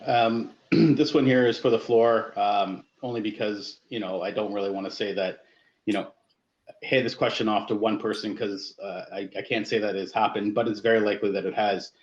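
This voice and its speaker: A man's voice: fairly low pitch, fairly even intonation, normal speed. A man guest-hosting a panel discussion as a coach, speaking hesitantly with many fillers. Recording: echoey, dull lo-fi sound.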